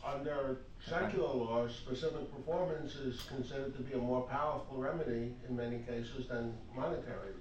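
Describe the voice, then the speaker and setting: A man's voice: mid-range voice, fairly quick, hardly any pauses, slight background noise. A man in a class asking probing, legal-minded questions. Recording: distant, echoey, dull-sounding room audio.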